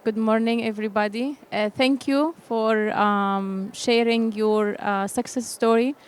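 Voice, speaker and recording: A woman's voice: on the high side, fairly even intonation, unhurried, clear, resonant tone. Hesitant woman asking from the audience. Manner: hesitant, many fillers. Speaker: audience member, nurse and college faculty. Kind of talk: audience question.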